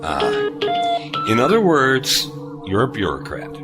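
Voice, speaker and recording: A man playing a gruff, skeptical veteran starship officer in a sci-fi audio drama, with a dry, probing manner. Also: medium pitch, wildly swooping pitch, a little slow, loud music behind the voice. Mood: grumpy, skeptical.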